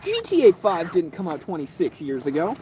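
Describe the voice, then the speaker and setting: A man's voice: high-pitched, wildly swooping pitch, brisk, mellow, a little hiss or hum. A man in his twenties telling rambling stories on a casual livestream, swearing, with lots of fillers and restarts. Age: 20s. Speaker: livestream host. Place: room lit by kerosene lamp at night.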